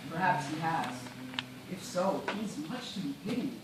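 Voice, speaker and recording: A man acting a shipwrecked gentleman in a stage play, resigned and bitter, speaking in a formal, measured, theatrical way. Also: a little high, lively intonation, lightly acted. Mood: grave, reasoning. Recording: distant, echoey, noisy live theatre recording.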